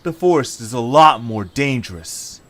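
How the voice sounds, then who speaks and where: A man playing an eager, hopeful young adventurer in an amateur audio drama, with excitable, expressive delivery. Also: medium pitch, normal speed, natural voice. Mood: earnest. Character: eager, hopeful young male adventurer leading his friends.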